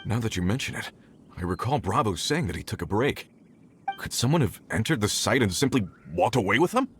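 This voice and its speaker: An actor playing a dutiful search-party leader in a video game, decisive and businesslike. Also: male voice, fairly low pitch, sing-song, fairly quick, a little hiss or hum. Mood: concerned. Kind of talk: video game character acting.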